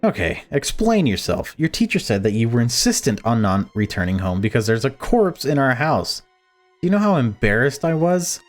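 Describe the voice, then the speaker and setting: A man's voice: medium pitch, sing-song, normal speed, close to an everyday voice. Deluded doting mom in a visual novel, read by a guy, self-pitying, snippy, music playing. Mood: indignant. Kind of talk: reading visual novel dialogue aloud.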